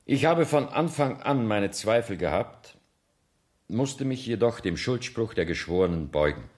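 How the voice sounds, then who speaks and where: Senior judge for radio drama: low voice, formal, measured, earnest and conscientious. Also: male voice, animated, darkish tone. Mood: formal dictation. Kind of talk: radio play acting in standard German.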